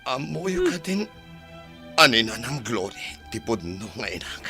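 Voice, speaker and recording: A man's voice: medium pitch, big pitch swings, unhurried, gravelly, music in the background. A man playing a humbled, tearful prisoner son in a radio drama. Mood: tearful, remorseful.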